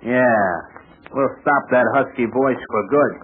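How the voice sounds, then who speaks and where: A man's voice: medium pitch, fairly even intonation, unhurried, natural voice. An actor playing a tough, grumbling American gangster henchman in a radio serial, blunt and full of slang. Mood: threatening. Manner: slangy, blunt, wise-guy delivery. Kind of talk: old-time radio serial acting. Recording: tinny, noisy old radio recording.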